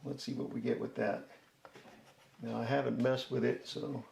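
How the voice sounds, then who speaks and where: A man with a gravelly, creaky edge hosts a casual product review. He is folksy and chatty, explaining step by step. The room is echoey, with a faint layer of noise. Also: mid-range voice, level tone, moderate pace, a little mellow. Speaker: host of a DIY product review channel. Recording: roomy echo, faint background noise.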